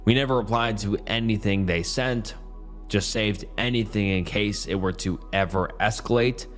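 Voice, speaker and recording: A man reading Reddit posts aloud as a YouTube story narrator, his voice strained and partly going hoarse, in a casual, chatty delivery. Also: on the low side, moderate pace, a bit bright, soft background music.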